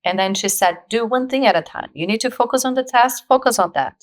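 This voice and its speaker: A woman in her forties from Brazil, speaking English with a Brazilian Portuguese accent as a guest in an interview, telling her story with lively, laughing energy. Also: a little high, fast talker. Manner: animated storyteller, laughs often, acts out quoted voices. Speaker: guest, actress. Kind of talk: casual interview conversation.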